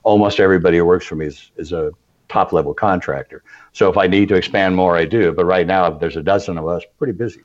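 A man's voice: deep voice, fast talker, highs cut off. A man answering interview questions as a crisis management consultant, earnest and emphatic with some stammered starts.

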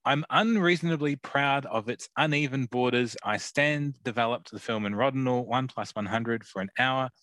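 A man's voice: medium pitch, moderate pace, few pauses, a bit bright, no echo. A man co-hosting a podcast, describing photos in a hesitant way, full of ums and easy laughs. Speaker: podcast co-host. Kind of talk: casual chat describing photos.

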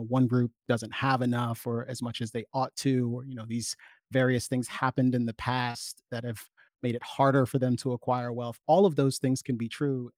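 A man speaking as an interview guest, making an explanatory argument in measured, articulate long sentences. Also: mid-range voice, no room sound.

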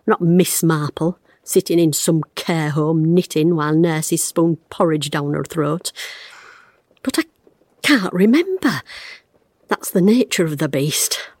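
A woman's voice: low voice, expressive, takes it slowly, darkish tone, no echo, full character voice. An actress, playing a wry, blunt retired woman detective in an audio drama, recorded close and dry. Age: 50s. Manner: wry, blunt, self-mocking, fraying under strain.

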